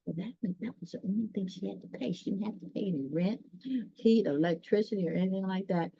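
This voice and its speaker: A woman reading a children's story aloud, pausing dramatically and chatting directly to her listeners. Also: medium pitch, vocal fry, warm tone, husky, dry recording. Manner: dramatic pauses, gasps, talks directly to listeners. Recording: dull, dry lo-fi sound.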